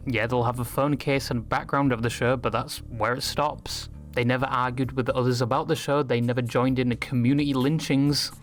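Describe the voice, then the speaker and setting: Guy reading a scripted satirical rant, normal pitch, snarky and over the top, music playing under it. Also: steady tone, fairly quick, hardly any pauses. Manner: sarcastic, crude, hyperbolic. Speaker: video essay narrator. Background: background music.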